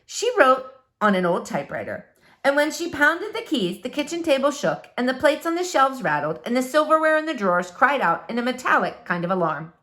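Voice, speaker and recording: A woman reading a children's novel aloud as expressive storybook narration. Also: high voice, fairly quick.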